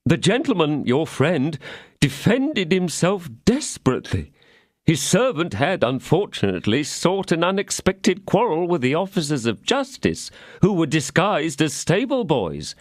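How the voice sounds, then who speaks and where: A man's voice: fairly high pitch, very animated, measured pace, no echo, clean studio sound, voice pushed up. A man voicing a frightened, fawning innkeeper who pleads in a lamenting tone. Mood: pleading. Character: trembling fawning middle-aged male innkeeper.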